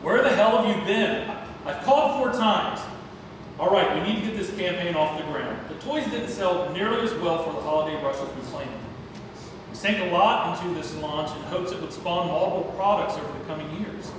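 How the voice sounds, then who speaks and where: Man playing high-voiced ranting executive, echoey. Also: expressive, moderate pace. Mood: angry, urgent. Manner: ranting, urgent. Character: harried, cynical toy executive father on phone. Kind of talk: play table read. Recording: echoey hall, distant mic, heavy noise.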